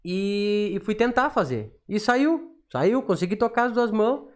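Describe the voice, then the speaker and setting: A man's voice: high voice, lively intonation, measured pace. A man in his thirties with a southern Brazilian gaucho accent, talking casually as a podcast guest. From Rio Grande do Sul, southern Brazil. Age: 30s. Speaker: podcast guest, self-taught accordion player and teacher. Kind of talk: casual storytelling in an interview. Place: small studio, indoors. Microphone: podcast microphone on boom arm, talking through cloth mask.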